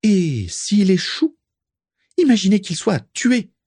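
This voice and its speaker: A man voicing a scolding, indignant woman in a storybook with a shrill, high-perched voice. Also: very animated, slow pace, darkish tone, slightly rough, dry recording, studio quality, full character voice.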